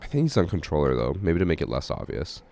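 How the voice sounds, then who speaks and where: A hoarse man with a cough, casually analyzing gameplay clips as a gaming channel host. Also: extremely low pitch, very animated, quick pace, darkish tone. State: hoarse from a cough, losing his voice. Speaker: gaming channel host reviewing submitted matches. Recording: game gunfire behind the voice.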